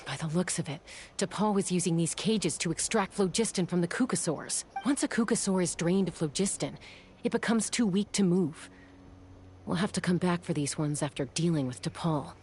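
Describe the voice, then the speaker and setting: Cool-headed young woman scout, voiced by an actress, low-pitched and measured, game dialogue. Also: darkish tone, slight background noise, natural voice. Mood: calm. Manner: measured, analytical.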